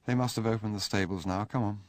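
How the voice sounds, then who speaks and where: A man's voice: on the low side, steady tone, fast talker, no room sound, voice pushed up, close to an everyday voice. A man reading an audiobook, voicing a wretched, drunk young English aristocrat with an emotional, pleading, clipped upper-class delivery. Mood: sullen.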